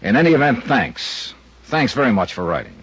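A man's voice: medium pitch, wildly swooping pitch, normal speed, a bit bright, husky, no room sound. A man, the show's star, thanking listeners in an earnest scripted address. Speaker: radio star. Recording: dull old radio recording.

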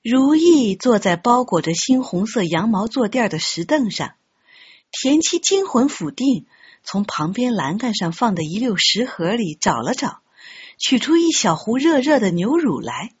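A woman narrating an audiobook story in an expressive, scripted storytelling style. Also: fairly high pitch, measured pace, slightly rough, dull audio. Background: background music.

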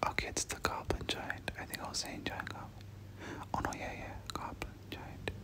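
A person doing whispered ASMR game commentary, speaking casually in gamer slang and often repeating short phrases. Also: normal speed. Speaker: gameplay commentator.

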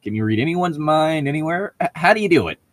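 A man voicing a curious, shy teenage girl in an expressive storybook read-aloud. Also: fairly high pitch, fast talker, dry recording, pitched above the performer's own voice, lightly acted. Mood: curious, hesitant. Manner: questioning, expressive.

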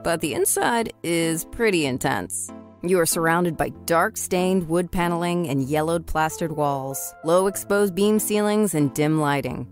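A woman playing an observant podcaster, giving descriptive, scripted first-person narration in an audio drama. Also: sits low. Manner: descriptive, storytelling.

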